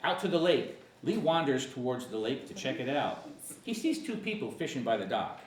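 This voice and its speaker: A man's voice: medium pitch, very animated, moderate pace. A man reading scripted stage directions as narrator at a screenplay table read. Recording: echoey room, distant mic, faint noise.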